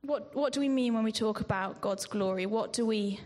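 A woman preaching a sermon in a measured way, with ums and restarts, sometimes dropping to a whisper on scripture. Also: on the high side, darkish tone. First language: not Greek. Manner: slow, measured delivery with frequent ums and restarts.

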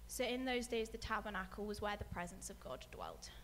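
A woman preaching a sermon, speaking softly with many ums and letting her phrases fade away quietly. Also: medium pitch, big pitch swings, brisk, slight background noise. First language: not English. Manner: soft-spoken, many ums, trails off quietly at phrase ends. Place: church hall with a screen.

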